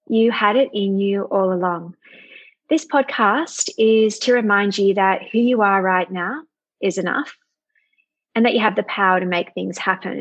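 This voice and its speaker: A woman with a slightly husky, breathy voice hosts an interview podcast. She speaks in an earnest, affirming way, with the steady delivery of a prepared script. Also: medium pitch. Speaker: podcast host.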